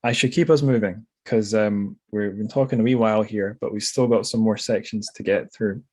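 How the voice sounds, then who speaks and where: A man's voice: lowish voice, fairly even intonation, moderate pace, darkish tone, slightly rough. A man hosting a conversational literary interview, hesitant and rambling as he thinks aloud. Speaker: podcast host.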